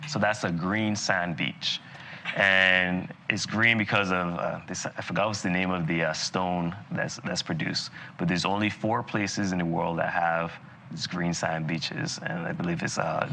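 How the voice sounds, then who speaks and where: A man from Barbados giving a live continuing-education lecture on dentistry, talking in a relaxed, conversational way with plenty of fillers and asides. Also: low-pitched, a little hiss or hum. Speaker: dental lecturer.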